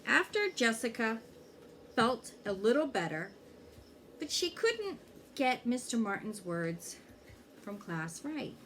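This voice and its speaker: A woman reading a children's story aloud in an expressive way, pausing to check in with young listeners. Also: a little high, a little hiss or hum. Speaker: fire inspector reading a children's book aloud.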